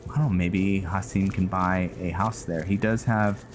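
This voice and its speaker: A man giving casual, rambling gameplay commentary, halting often as he thinks aloud. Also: deep voice, fairly even intonation, noisy background. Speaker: gaming video host. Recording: music behind the voice. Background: game music.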